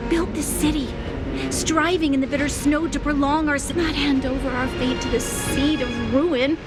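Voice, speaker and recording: A woman's voice: high-pitched, steady tone, normal speed, lightly acted. An actress playing a composed and resolute young female leader who speaks formally and earnestly, in a game cutscene. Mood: earnest, resolved. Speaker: heroine. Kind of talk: video game cutscene acting. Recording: game audio with loud score. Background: loud orchestral music.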